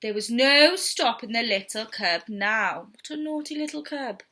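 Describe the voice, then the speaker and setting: A woman reading a story aloud to young children in an expressive, theatrical storytelling style. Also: fairly high pitch, a little slow. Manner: theatrical, with whispers and sound effects.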